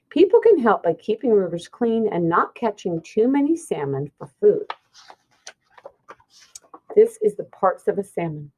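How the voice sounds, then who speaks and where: A woman's voice: medium pitch, mellow, rough recording. A woman teacher reading a children's science book aloud, explaining and pointing things out simply.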